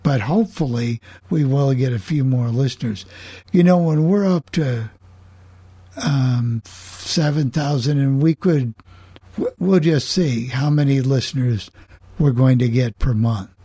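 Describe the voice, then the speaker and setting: Slow-talking man with a soft, husky voice. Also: mid-range voice, dry recording, clean studio sound. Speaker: host of a group coffee club chat on an internet radio station. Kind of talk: casual group discussion.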